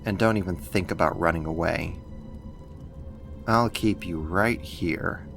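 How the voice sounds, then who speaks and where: A man's voice: low voice, gravelly, fairly bright tone, soft background music, heavily acted. A man playing a sadistic, mocking villain and kidnapper in an ASMR roleplay, with a controlling, condescending manner. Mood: taunting, controlling. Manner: slow, taunting, controlling, condescending.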